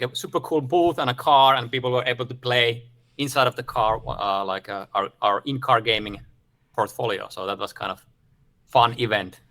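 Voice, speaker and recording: A man's voice: mid-range voice, sharp tone. A man from Finland giving a casual, upbeat presentation over a video call, with many fillers. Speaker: guest speaker.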